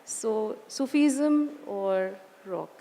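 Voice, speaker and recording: A woman's voice: on the high side, drawn-out words, mellow. A woman hosting an onstage interview, asking polite, curious questions. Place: event hall. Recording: long hall reverb.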